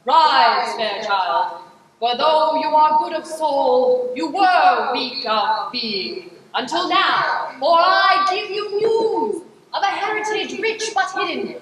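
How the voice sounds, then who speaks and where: Woman doing a pompous messenger prince, high swoopy old-timey proclaiming, far off and really noisy. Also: lively intonation, slow. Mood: solemn. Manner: mock-archaic, proclaiming. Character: solemn, pompous male messenger prince bearing news. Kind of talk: improvised mock-classical stage comedy. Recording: noisy, reverberant hall from afar.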